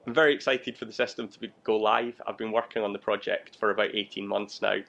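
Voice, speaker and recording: A man in his thirties talks about his job as in an interview. His voice is on the low side with a fairly even melody and noticeable vocal fry. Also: quick pace. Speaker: air traffic control watch manager. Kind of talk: interview, explaining his work.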